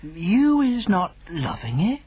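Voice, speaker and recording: A woman voicing a kindly, timid male giant who coaxes gently in jumbled made-up words. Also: mid-range voice, very animated, slow pace, breathy edge, narrow, tinny audio, full character voice. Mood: coaxing.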